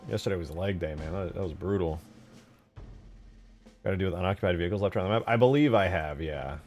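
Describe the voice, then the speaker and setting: A man's voice: low-pitched, quick pace, a little mellow, faint music behind. A man chatting casually and in slangy, sweary terms on a livestream while he works on game mods. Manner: laid-back, slangy, profane. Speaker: streamer building video game mods.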